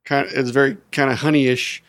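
A man co-hosting a podcast, chatting casually with a slightly raspy voice and joking often. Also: mid-range voice, level tone, fairly quick, fairly bright tone. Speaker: podcast co-host. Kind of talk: casual chat. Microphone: studio mic.

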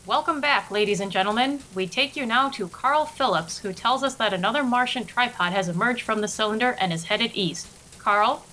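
Bright, crisp woman playing a radio anchor. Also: on the high side, normal speed, low-quality recording. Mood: businesslike. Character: businesslike female radio anchor, dryly exasperated. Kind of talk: 1930s radio news acting, spoofed.

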